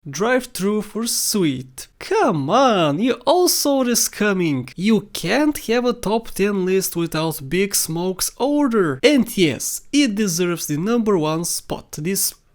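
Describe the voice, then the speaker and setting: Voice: medium pitch, very animated, slow pace, few pauses. Scripted game countdown narrator. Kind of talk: scripted opinion commentary over game clips.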